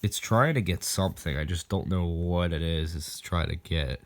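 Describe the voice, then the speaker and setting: A man's voice: low-pitched, moderate pace. A man casually showing off his weather radio collection, rambling and thinking aloud as he goes. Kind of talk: casual product demo vlog. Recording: noisy recording with clicks.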